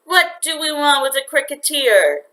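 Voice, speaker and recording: A woman's voice: sits high, big pitch swings, unhurried, bright, forceful tone, voice pushed up, exaggerated voice, rough recording. A woman reading a storybook, voicing a stern, dismissive mother with a dry, scolding manner.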